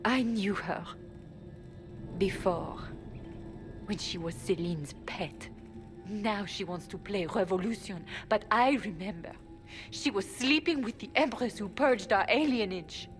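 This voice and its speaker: A woman voicing a frightened, bitter elf servant woman in a video game cutscene, speaking quietly and low. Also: unhurried, music in the background, close to an everyday voice.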